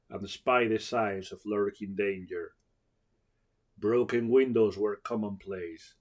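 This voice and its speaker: A man's voice: lowish voice, a little mellow. A man giving a dramatic scripted narration as a storyteller.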